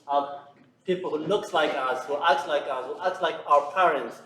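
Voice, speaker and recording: A middle-aged man addresses a community seminar in a halting way, stopping often on fillers and restarting his sentences. His voice sits a bit high for a man and sounds roomy, picked up from far off in a large hall. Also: fairly bright tone, a little hiss or hum. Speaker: seminar organizer. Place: large room.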